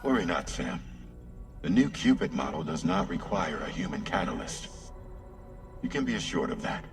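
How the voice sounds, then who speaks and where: Very deep, gravelly formal advisor. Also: male voice, very animated, normal speed, faint music behind, heavily acted. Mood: reassuring. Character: calm, formal male advisor giving reassurance. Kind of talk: game cutscene dialogue.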